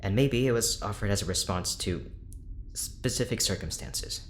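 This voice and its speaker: A man's voice: fairly low pitch, animated, normal speed, a little hiss or hum, close to an everyday voice. A man playing a stiff, weary, defensive neurologist in an audio drama, speaking formally with some hesitation. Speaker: head neurologist at a research station. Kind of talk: naturalistic audio drama acting.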